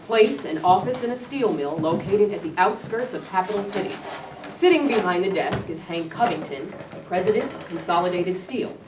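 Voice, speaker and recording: A woman's voice: on the low side, expressive, moderate pace. A woman reading out stage directions as scripted narration. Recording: echoey room, distant, noisy.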